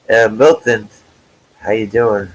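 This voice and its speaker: A man's voice: fairly low pitch, low-quality recording. A man in his forties hosting a livestream from home. He chats loosely, swears freely and greets his viewers by name. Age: 40s. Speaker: livestream host. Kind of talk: casual chat.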